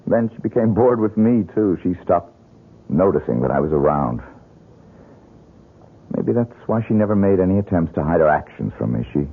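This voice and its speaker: An actor playing a troubled, bitter young man in an old-time radio mystery, speaking in a halting, dramatic, husky way. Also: sits low, sing-song, full character voice. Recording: muffled, lo-fi radio drama recording.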